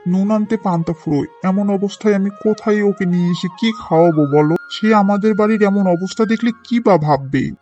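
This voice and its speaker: A man's voice: sits high, a little fast, mellow, highs cut off, faint music behind, close to an everyday voice. A man voicing a poor, respectful, anxious young son in a cartoon moral story, earnest and pleading.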